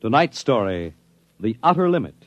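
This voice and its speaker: A man's voice: medium pitch, big pitch swings, moderate pace. A man narrating a 1950s radio science fiction series in a dramatic, portentous announcer style.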